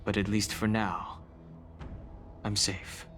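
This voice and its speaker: A man playing a solemn, reserved exile burdened by his past in a video game, speaking in a measured, formal way. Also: on the low side, fairly even intonation, fairly bright tone, soft background music. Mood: grave, resolved. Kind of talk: English video game voice acting.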